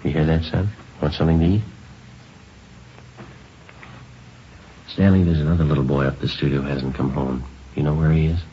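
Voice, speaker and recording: An actor playing a calm, businesslike police sergeant in an old radio drama, clipped and matter-of-fact. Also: male voice, rumbling low, quick pace, slight background noise. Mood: calm, gently firm. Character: calm, businesslike police sergeant who narrates his cases. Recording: dull old radio recording, music.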